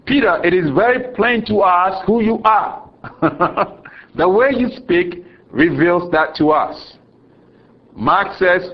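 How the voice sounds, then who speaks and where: A man from Ghana with a Ghanaian accent, preaching a sermon slowly and deliberately, chuckling often and dropping low for solemn lines. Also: dull audio.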